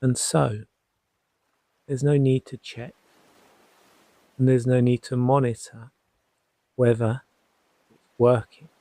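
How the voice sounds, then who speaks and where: A man speaks as a hypnotherapist leading listeners toward sleep. His voice is mellow and creaky, in a dry, close recording. He is reassuring and unhurried, letting each short phrase settle before the next. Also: mid-range voice, little pitch movement, dry recording. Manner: soothing, unhurried, gently reassuring, with long pauses. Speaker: hypnotherapist guiding a sleep session. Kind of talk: guided sleep hypnosis narration.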